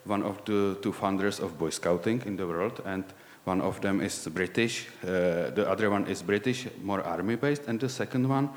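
A man in his forties with a Czech accent, a lay preacher giving a sermon in a halting, candid way, often searching for words. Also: deep voice, long reverb tail. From Czech Republic.

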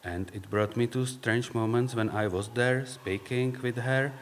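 A man with a Czech accent, speaking English as a second language, gives a reflective personal faith talk in halting, searching phrases. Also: mid-range voice, fairly even intonation, a little hiss or hum. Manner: halting, searching for words, non-native phrasing. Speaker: speaker sharing faith story. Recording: long reverb, hall-like.

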